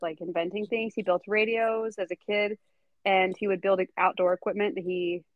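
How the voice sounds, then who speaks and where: A woman's voice: mid-range voice, no room sound, narrow, tinny audio. A woman hosting a podcast, telling a story in casual chat, giggling often and full of fillers. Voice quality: giggly, chatty, filler-heavy. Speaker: podcast host.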